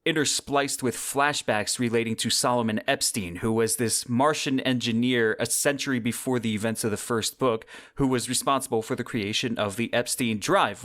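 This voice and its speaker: Male podcast host, medium pitch, scripted yet conversational delivery, enthusiastic. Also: fairly bright tone. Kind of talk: scripted solo podcast commentary on science fiction books.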